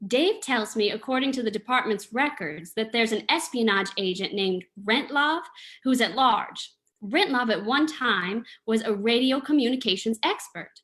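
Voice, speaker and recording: An actress playing an alert, loyal detective's assistant in an old-time radio drama, earnest and attentive. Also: female voice, a little high, lively intonation, normal speed, fairly bright tone. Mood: earnest, informative.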